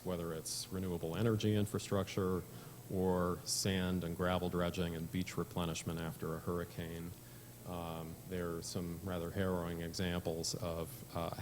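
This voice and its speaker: A man giving a measured policy presentation at a conference, with frequent fillers. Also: sits low, slight background noise. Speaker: conference speaker.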